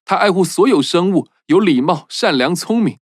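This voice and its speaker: A man's voice: high voice, expressive, normal speed, no echo, studio quality. A man voicing a sincere, courteous young prince in a children's cartoon. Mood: earnest. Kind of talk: cartoon dubbing.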